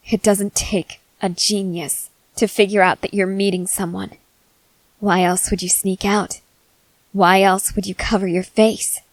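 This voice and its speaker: Casting: actress as stern young female fighter, wary and intense, unhurried delivery, very dry close sound, ASMR drama. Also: mid-range voice, dry recording, natural voice, low-quality recording. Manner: slow, deliberate, terse, intense delivery with pauses. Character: stern young female soldier, suspicious, guarded, threatening. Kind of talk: ASMR character roleplay, dramatic acting.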